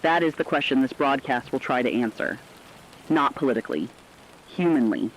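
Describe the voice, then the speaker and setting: A man playing a somber, deliberate radio narrator of a collapsing world, delivering scripted dramatic documentary in short emphatic phrases. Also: mid-range voice, expressive, natural voice. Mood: grave, reflective. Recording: dull lo-fi radio with hiss, rain.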